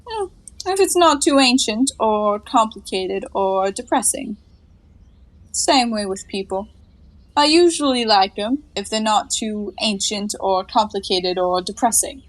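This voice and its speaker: An actress playing a flippant, quick-witted young woman in a 1920s comedy audio play, breezy and playfully sarcastic. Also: medium pitch, expressive, natural voice, rough recording.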